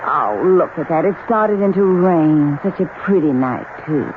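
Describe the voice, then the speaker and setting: A coquettish Southern belle. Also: female voice, sits low, lively intonation, moderate pace. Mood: coaxing. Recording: narrow-band old radio broadcast with faint hiss.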